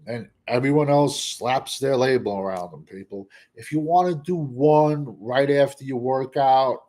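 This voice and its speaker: A man hosting casual football draft talk, blunt and rambling, with what he calls a monotone voice. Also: mid-range voice, husky. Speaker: draft analyst host. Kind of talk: casual draft commentary to camera.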